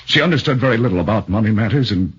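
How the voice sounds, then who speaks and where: A man's voice: fairly low pitch, animated, rapid-fire, husky. An actor playing an earnest, defensive young man accused of murder, insisting on his honesty, in 1940s radio acting. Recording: dull 1940s radio broadcast.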